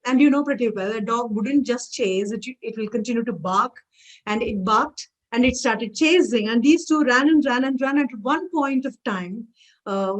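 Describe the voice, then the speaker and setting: A woman's voice: on the high side, rough recording. A woman with an Indian English accent, Tamil her first tongue, giving an online lecture and telling stories in an emphatic way, often repeating her phrases. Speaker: guest lecturer.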